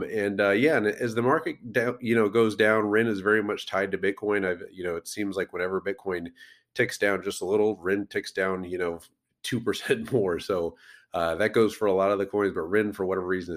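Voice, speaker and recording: A man's voice: low-pitched, steady tone, normal speed. A man hosting a crypto podcast, rambling casually with many fillers while sick and losing his voice. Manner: rambling, lots of fillers and restarts.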